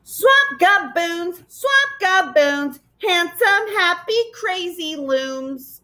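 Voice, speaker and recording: A woman's voice: extremely high pitch, very animated, slow, bright, forceful tone, voice pushed up, heavily acted. A woman voicing gleefully nasty, furry green swamp monsters that taunt and chant in a storybook reading. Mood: boastful chanting. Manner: shrieking, taunting, sing-song chanting.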